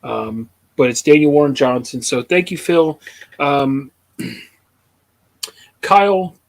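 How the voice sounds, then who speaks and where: A man's voice: a little high, expressive, takes it slowly, vocal fry. A man in his thirties hosting a casual livestream hangout, laid-back, thanking viewers with plenty of ums. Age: 30s. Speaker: host.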